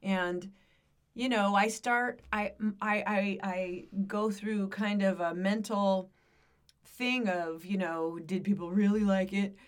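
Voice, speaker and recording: A middle-aged American woman co-hosting a casual podcast, her voice rough and scratchy from singing, speaking in a hesitant, reflective way. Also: medium pitch, fairly even intonation, slow. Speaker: podcast co-host and amateur singer. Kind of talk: casual conversational podcast chat.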